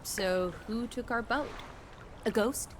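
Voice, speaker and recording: A woman's voice: a little high, slow pace, background noise. A woman voicing a sociable, playful young travel companion in a video game, with a breezy, wry, mock-dramatic way of talking. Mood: wry, teasing.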